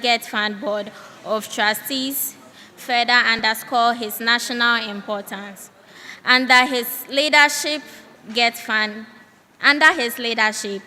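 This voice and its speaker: Lady clerk reading a profile out loud, bit high and bright, echoey hall, faint hiss. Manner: formal, careful reading with small restarts. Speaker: deputy clerk. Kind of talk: reading a formal profile aloud. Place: large hall at a youth parliament. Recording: reverberant hall with faint background noise.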